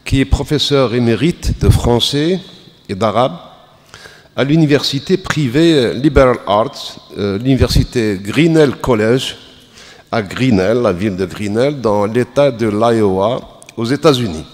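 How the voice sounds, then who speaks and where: A man formally introducing a guest speaker at a festival, measured with occasional fillers. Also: mid-range voice, animated. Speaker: festival host, moderator. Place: lecture hall. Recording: long reverb of a hall.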